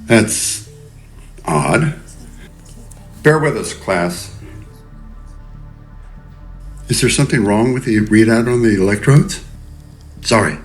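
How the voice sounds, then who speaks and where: An actor playing a middle-aged, married neuroscience professor, wry and insistent, in an audio drama. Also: male voice, on the low side, animated, takes it slowly, pauses often, soft background music. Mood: tense, insistent.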